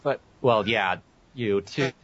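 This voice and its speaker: Young male co-host, lowish voice, crude casual joking, dull lo-fi voice-chat sound. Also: slow, fairly bright tone. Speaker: podcast co-host. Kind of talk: casual group banter.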